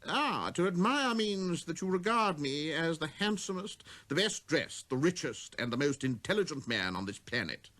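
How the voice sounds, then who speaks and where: A man's voice: a little high, sing-song, normal speed, few pauses, bright, forceful tone, voice pushed up. A man voicing a vain, pompous, conceited man who craves admiration, in a storybook. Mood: preening.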